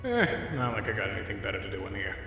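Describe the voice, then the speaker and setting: A man's voice: fairly low pitch, sing-song, very fast, vocal fry, bright, forceful tone, exaggerated voice. A man voicing a wry, protective, laid-back skeleton brother in a dramatic comic dub, casual and given to dry understatement. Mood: resigned, fond. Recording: echoey hall reverb, faint music.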